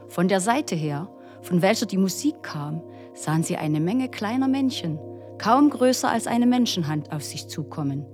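A woman's voice: lowish voice, a little mellow. A woman narrating a scripted folk tale as a storyteller. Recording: music behind the voice. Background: instrumental music.

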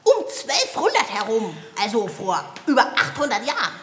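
A woman's voice: on the high side, huge pitch swings, normal speed, fairly bright tone, slight background noise. A woman voicing an eager mountain sprite who explains things emphatically in a children's audio drama. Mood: eager, instructive. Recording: echoey hall with long reverb.

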